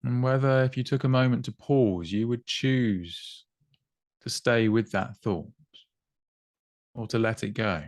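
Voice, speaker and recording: A man guiding a body scan meditation in an unhurried, gently instructive way, with long pauses between short phrases. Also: mid-range voice, dry recording, clean studio sound. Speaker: meditation guide.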